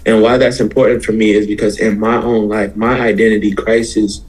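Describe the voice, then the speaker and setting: An American man from Houston, Texas, giving casual, reflective interview answers as a musician guest, explaining himself in a halting way. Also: on the low side, level tone, a little mellow, husky, poor audio. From Houston, Texas, USA.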